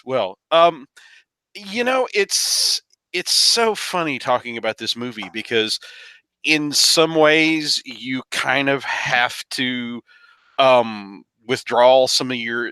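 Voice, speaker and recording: A man's voice: medium pitch, slow pace, pushed and bright, smooth, dry recording, low-quality recording. A man on a podcast panel casually discussing films in a wry, jokey way, often repeating words as he builds a point.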